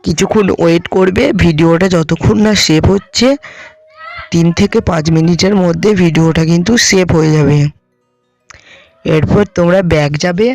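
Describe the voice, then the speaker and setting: A tutorial host narrating software steps one by one, speaking informally to viewers as friends. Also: mid-range voice.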